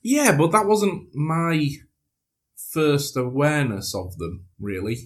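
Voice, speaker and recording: Male co-host, thirties, deadpan wit, casual remote chat. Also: on the high side, slow. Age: 30s. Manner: dry, deadpan humour. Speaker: podcast co-host. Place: home, recording remotely.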